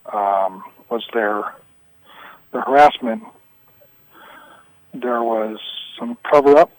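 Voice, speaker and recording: A man answering interview questions on a recorded telephone call, candid, with halting yet emphatic phrasing. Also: mid-range voice, no echo. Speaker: union vice president, anonymous interviewee.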